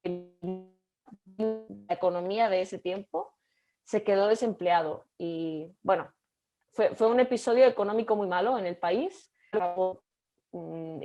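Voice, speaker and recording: Catalan woman, young adult, online lecture, halting delivery, video call audio. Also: medium pitch, darkish tone. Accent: Catalan Spanish. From Catalonia, Spain. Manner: halting, many restarts and fillers. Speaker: guest lecturer.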